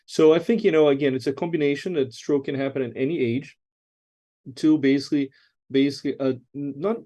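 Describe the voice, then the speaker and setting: A man's voice: on the high side, fairly even intonation, brisk, mellow. A man speaking as an expert doctor, explaining things conversationally and often checking in with the listener. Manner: explanatory, frequent check-in tags.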